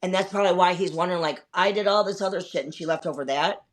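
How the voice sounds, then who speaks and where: A woman's voice: fairly low pitch, fast. A woman in her fifties hosting a casual chat, telling stories candidly, swearing often and rambling with many fillers. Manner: candid storytelling with frequent swearing. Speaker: host.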